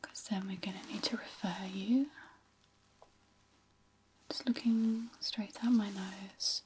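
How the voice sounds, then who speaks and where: A woman speaking in a soft, breathy near-whisper, playing a gentle, reassuring physiotherapist who hesitantly explains each step in an ASMR roleplay. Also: mid-range voice, steady tone, slow, slight background noise.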